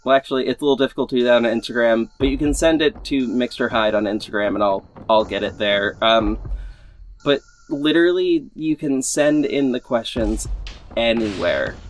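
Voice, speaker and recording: A man's voice: medium pitch, moderate pace, a little hiss or hum. A man hosting a podcast in an informal, rambling way, repeating words for emphasis as he gives a casual update. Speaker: podcast host and audio drama creator. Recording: music playing behind the voice. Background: background music.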